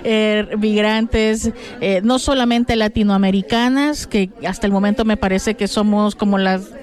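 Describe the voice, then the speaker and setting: Earnest woman councillor, halting speech. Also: medium pitch, fairly even intonation, a little mellow. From El Salvador. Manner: earnest, hesitant with many fillers and restarts. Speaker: city councillor. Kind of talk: interview answers.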